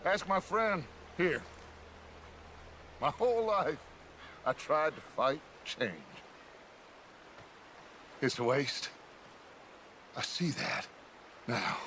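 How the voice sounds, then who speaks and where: A theatrical American outlaw boss in a dramatic video game. His voice sits fairly high and swings widely, with slow, oratorical phrasing, over a clear musical score. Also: very animated, a bit bright, slight background noise, full character voice. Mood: weary, philosophical. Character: theatrical, grandiose middle-aged male outlaw gang leader.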